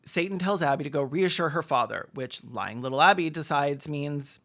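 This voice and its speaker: A snarky video essayist with a mid-pitched voice, doing comedic film commentary. The delivery is camp and deadpan, full of sarcastic asides. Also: moderate pace.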